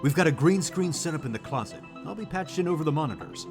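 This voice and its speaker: A man's voice: fairly high pitch, animated, fast, reverberant, music in the background. An actor playing a showy male mission control announcer in an audio drama. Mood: wry. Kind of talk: theatrical scripted audio drama.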